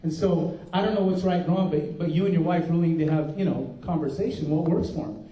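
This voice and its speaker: A man's voice: fairly high pitch, fast, warm tone. Older man preaching folksy in a roomy hall. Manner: earnest, folksy preaching with restarts. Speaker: pastor. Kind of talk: conference workshop talk. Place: conference room. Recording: dull, roomy recording from a distance.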